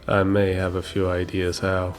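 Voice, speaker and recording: Casting: actor as mob-hired ex-cop, male, very low flat voice, blunt and scornful, audio roleplay with music bed. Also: normal speed, close to an everyday voice. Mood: sceptical. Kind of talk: ASMR roleplay audio drama.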